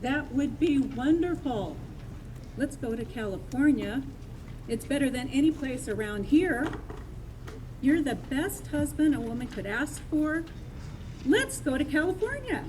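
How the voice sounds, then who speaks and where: Female reenactor as a high, pleading frontier wife, noisy outdoor take. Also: lively intonation, measured pace, darkish tone. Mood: anxious. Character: fearful frontier rancher's wife. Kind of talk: outdoor Wild West reenactment.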